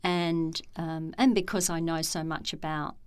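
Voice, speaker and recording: A middle-aged woman, a former executive turned meditation teacher, speaking in a calm, measured and thoughtful way with frequent pauses. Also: low-pitched, clean tone. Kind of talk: reflective interview talk.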